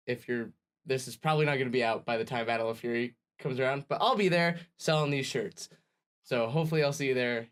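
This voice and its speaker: A man's voice: medium pitch, fairly bright tone. Playful man in his twenties. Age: 20s. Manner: playful, chatty, laughs between lines. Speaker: guest. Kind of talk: casual chat.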